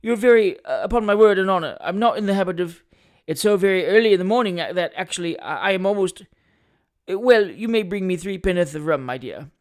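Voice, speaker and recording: Man acting a self-important tippling lawyer, high-pitched, flowery politeness, storybook comedy. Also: animated, a little fast. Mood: coy. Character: pompous, boastful, tippling small-time attorney. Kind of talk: audiobook character voice, Victorian comic novel.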